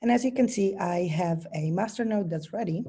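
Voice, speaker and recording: A woman with a bad cold giving a technical presentation over a video call, explaining step by step with frequent ums and throat clearing. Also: mid-range voice, moderate pace, dark tone.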